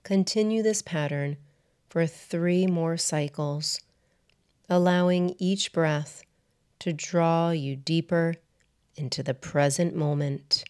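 A woman guiding a meditation as a yoga nidra teacher, in a soothing, measured manner with deliberate pauses between short phrases. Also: deep voice, darkish tone. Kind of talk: guided meditation narration.

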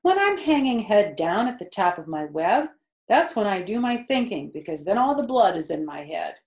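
Woman acting female spider, motherly, cool and collected, gently instructive, children's storybook, echoey room. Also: medium pitch, very animated, normal speed. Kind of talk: read-aloud children's storybook. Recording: roomy, echoey indoor sound.

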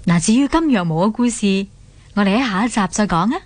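A woman's voice: mid-range voice, lively intonation, a little fast, darkish tone, no echo, faint music behind. A woman with a Hong Kong accent narrating a scripted radio drama as a storyteller.

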